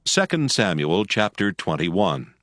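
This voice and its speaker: A man narrating scripture from a script in a measured, solemn manner. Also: low-pitched, very animated, a bit bright, a little hiss or hum. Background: background music.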